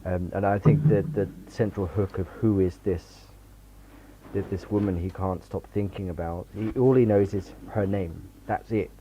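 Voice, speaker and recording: Muffled, lo-fi, low-voiced man. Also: steady tone, moderate pace. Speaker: author, fellow panelist. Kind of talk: casual livestream book chat. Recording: dull lo-fi mic with hiss.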